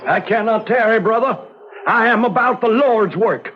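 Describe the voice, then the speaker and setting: An actor playing a zealous, vengeful frontier preacher, solemn and declamatory with righteous fire, in old-time radio drama style. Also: male voice, high-pitched, moderate pace, background noise, close to an everyday voice. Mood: righteous, resolute. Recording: dull old radio recording.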